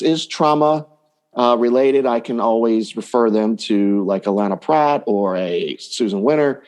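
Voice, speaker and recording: Man chatting casually as podcast guest, medium pitch. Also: a little mellow. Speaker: dating coach, podcast guest. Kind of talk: casual interview chat.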